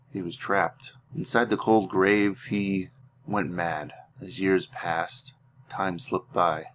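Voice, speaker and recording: Low-voiced man telling a solemn fantasy tale. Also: a bit bright, a little hiss or hum. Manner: solemn, deliberate storyteller with dramatic pauses. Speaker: host narrating a video game roleplay series. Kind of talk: dramatic storytelling narration of fantasy lore.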